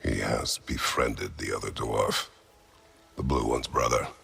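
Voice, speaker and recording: Brooding warrior father, extremely deep, heavily gravelled, terse and blunt, cinematic game acting, music behind. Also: measured pace, a bit bright, heavily acted. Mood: grave, restrained. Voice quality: gravelly, rumbling. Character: stoic, brooding warrior father, middle-aged god.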